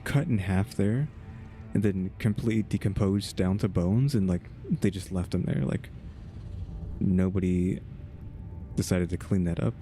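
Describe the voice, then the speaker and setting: A male gaming streamer with a low voice talks casually to himself as he plays. His delivery is wry and rambling, with game music clearly audible behind him. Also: unhurried, long pauses, warm tone. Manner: musing aloud, wry, rambling. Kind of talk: casual off-the-cuff gameplay commentary.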